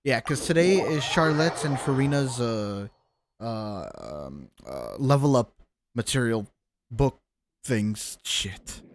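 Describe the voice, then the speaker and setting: A man's voice: medium pitch, very animated, slow, background noise. A man doing casual, joking game livestream commentary, swearing now and then as he talks to his chat. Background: video game music and sounds.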